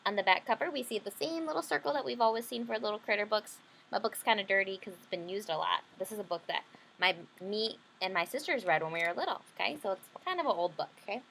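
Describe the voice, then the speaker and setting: A woman's voice: medium pitch, animated, quick pace, vocal fry, a bit bright, a little hiss or hum, poor audio. A woman leading an interactive read-aloud lesson for children, explaining simply and often checking in with questions. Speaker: teacher reading a picture book to children.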